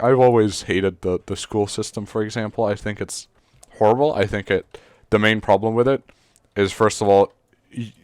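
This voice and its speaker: A teenage boy from Serbia, speaking English as a second language, talks loosely on a podcast. His voice is lowish and smooth, full of fillers, false starts and casual swearing. The sound is dry and close. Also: no echo. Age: teens. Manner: rambling, many fillers and restarts, swears casually. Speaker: podcast co-host. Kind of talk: casual chat.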